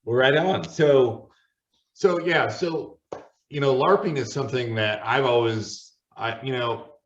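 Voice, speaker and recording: A man's voice: mid-range voice, measured pace, slight background noise. A middle-aged man hosting a casual group discussion. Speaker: host. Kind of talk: casual panel discussion chat. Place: indoor room shared with friends. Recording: roomy, distant, dull sound.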